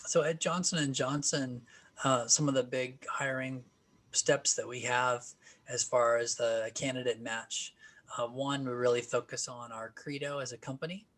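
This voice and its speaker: A man leads a practice job interview, asking questions at a measured pace. His pitch is mid-range but held very level, so his voice sounds flat and even. He drops in an occasional "uh.".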